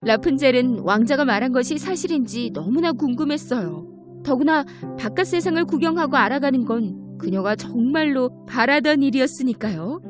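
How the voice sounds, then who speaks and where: High-pitched woman storyteller with music. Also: lively intonation, moderate pace. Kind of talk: scripted children's fairy tale narration.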